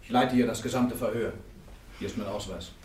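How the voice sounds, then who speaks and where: An actor playing a canon-law doctor who coaxes the prisoner in an officious tone in a radio play. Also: male voice, fairly low pitch, fairly even intonation, fairly quick, a little mellow, natural voice. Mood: coaxing. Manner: coaxing yet officious. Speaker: examining cleric. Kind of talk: classic radio play acting.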